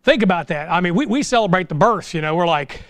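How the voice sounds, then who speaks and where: A man's voice: high-pitched, animated, quick pace, a bit bright, no echo. A middle-aged American man preaching an informal sermon in a folksy, exhortative way, full of rhetorical questions. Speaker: pastor preaching. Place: small church sanctuary, indoors.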